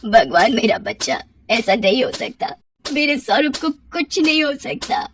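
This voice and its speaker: Elderly mother-in-law, done by a female narrator, caring, sorrowful, animated family tale, raised pitch, slightly distant. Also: a little slow, slight background noise. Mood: anguished. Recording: roomy echo, distant from mic.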